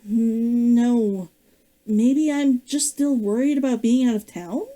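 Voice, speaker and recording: Woman doing hesitant young heroine, worried, soft mellow tone, light higher voice. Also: slow, rough recording. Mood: uneasy. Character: uneasy young woman adventurer.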